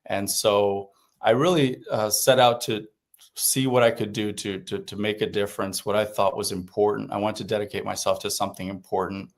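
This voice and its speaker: A man's voice: on the low side, flat delivery, normal speed. A man from Puerto Rico whose first language is Spanish, a guest reflecting in an interview with frequent restarts and fillers. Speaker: podcast guest. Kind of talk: conversational interview.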